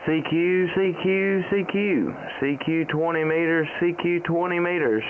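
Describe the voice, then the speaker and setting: A man with a Southern US accent speaking on a ham radio live stream in a halting, easygoing, folksy way. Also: on the high side, fairly even intonation. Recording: muffled phone-like audio with faint noise.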